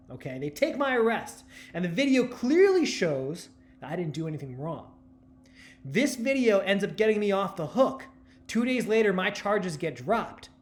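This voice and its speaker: A man in his thirties from New Jersey, telling stand-up stories with a dry delivery and deadpan punchlines. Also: high-pitched. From New Jersey, USA. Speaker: stand-up comedian and journalist. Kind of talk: stand-up storytelling.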